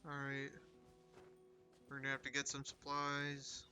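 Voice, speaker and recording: A man's voice: medium pitch, completely flat delivery, a little slow, bright, forceful tone, soft background music. A man giving casual live commentary as he plays and streams a video game.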